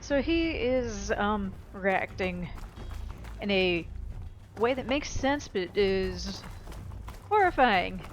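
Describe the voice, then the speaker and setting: A woman's voice: medium pitch, animated, slow, pauses often. A woman giving casual gameplay commentary, chatty and explanatory, often hedging her thoughts.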